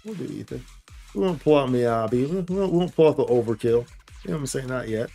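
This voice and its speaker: A man's voice: mid-range voice, lively intonation, fast talker, creaky, mellow. Playful man streaming gameplay, music behind. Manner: playful slangy talk with vocal sound effects and repeated phrases. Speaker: game livestreamer. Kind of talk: casual live gameplay commentary.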